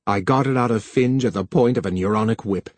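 A man reading an audiobook voices a desperate, angry young male technician, bitter and defensive, with a husky voice. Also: on the low side, a little fast, a little mellow, dry recording, natural voice.

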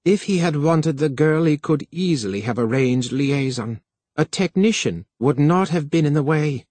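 A man reading an audiobook voices a desperate, angry young male technician, bitter and defensive, with a husky voice. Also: a little high, expressive, moderate pace, a little mellow, no echo, pitched above the performer's own voice.

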